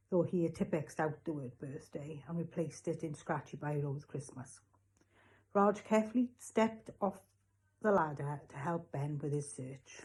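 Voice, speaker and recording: A woman narrating a children's storybook as a read-along, in an expressive scripted reading style. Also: low-pitched. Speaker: reader, school read-along.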